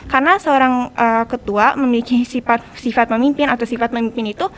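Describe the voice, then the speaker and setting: Quick-talking, high-voiced teenage girl, Jakarta accent. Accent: Jakarta Indonesian. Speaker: podcast guest, student organization leader. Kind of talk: casual conversational explanation.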